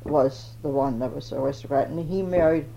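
A woman's voice: low-pitched, normal speed, gravelly, warm tone. An older woman from Denmark, recalling her family in an oral history interview and searching for words as she goes. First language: Danish. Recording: dull old tape with faint noise.

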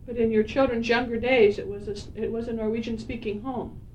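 A woman interviewing an older man for an oral history, asking short follow-up questions and murmuring quiet acknowledgements. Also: steady tone, fairly quick. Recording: distant from the mic with faint rumble.

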